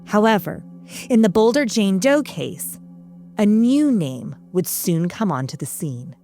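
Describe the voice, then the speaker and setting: A woman hosting and narrating a true-crime podcast from a script, with measured, dramatic storyteller phrasing. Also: mid-range voice, sing-song, mellow, soft background music.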